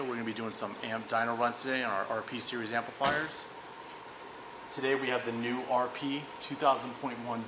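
An American man in his thirties plainly presenting a product test. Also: mid-range voice. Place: indoor workshop or warehouse. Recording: clear air-conditioning hum.

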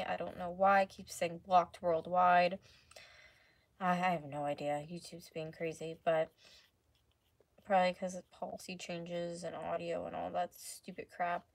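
Low-pitched, slightly hoarse young woman. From North America. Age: 20s. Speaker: craft livestreamer. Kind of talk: casual chat while painting. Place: small room at home, indoors. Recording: clearly noisy home livestream recording.

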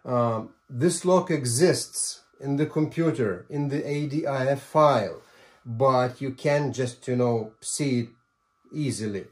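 A man in his sixties presents a hands-on tutorial in a mellow, relaxed voice. He takes his time, talking informally and leaning on key words, with folksy asides along the way. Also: mid-range voice, slow pace. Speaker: ham radio tutorial presenter. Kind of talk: informal how-to explanation. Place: small home radio room by a window.

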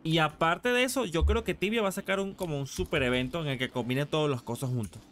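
A man's voice: on the high side, fast talker, fairly bright tone. A man chatting casually on a live game stream, slangy and sweary as he gripes like a regular player. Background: music.

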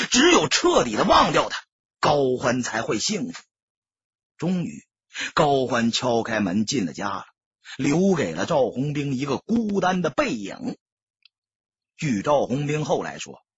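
Guy reading a dramatic audiobook, solemn and emotive, breathy rough voice, dry but slightly muffled sound. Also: a little high, fairly bright tone, no echo. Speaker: audiobook narrator. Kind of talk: dramatic scripted narration.

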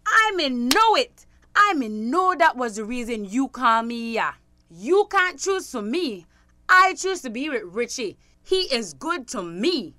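A woman's voice: high-pitched, big pitch swings, measured pace, bright, forceful tone, exaggerated voice. A woman acting in a radio drama as a defiant young adult daughter with a Belizean Creole accent. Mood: pleading, defiant.

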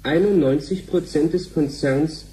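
A man playing a sober news reader, delivering a formal, measured bulletin in a sci-fi radio drama. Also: a little high, warm tone, close to an everyday voice. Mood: sober, official. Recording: very dull sound, faint noise.

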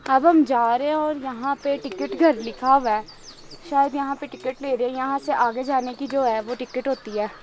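A young woman talks brightly to a camera held close, out on a mountain trail. Her voice sits high, and her chatty, upbeat delivery turns breathless on the climb. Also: steady tone, normal speed. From Pakistan. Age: young adult. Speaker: travel vlogger. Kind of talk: casual travel vlog narration to camera. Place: outdoors on a forest hiking trail and hilltop meadow. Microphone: handheld camera held close.

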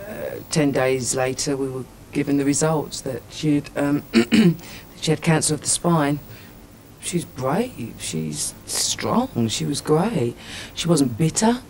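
A man giving a documentary interview, speaking in a halting, sombre way. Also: medium pitch, slight background noise.

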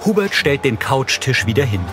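Low-voiced man narrating over music. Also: sing-song. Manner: neutral, measured, factual. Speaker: audio description narrator. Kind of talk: scripted narration of a TV drama for blind listeners. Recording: voice over film soundtrack music.

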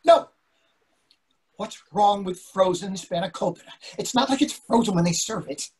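Man playing an impatient, raspy older husband. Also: sits high, normal speed, darkish tone. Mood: exasperated. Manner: exasperated, blunt. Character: impatient, grumbling husband craving beer and food. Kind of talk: readers theatre comedy acting. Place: indoor room on a video call. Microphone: video call microphone.

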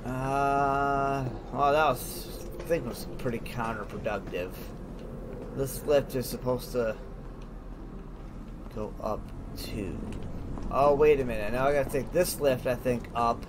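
A man's voice: steady tone, slow, long pauses. A man doing casual let's play commentary, thinking aloud to himself in short, informal phrases. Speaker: gamer, let's play host. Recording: noisy, with game ambience and rumble. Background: horror game ambience and machinery rumble.

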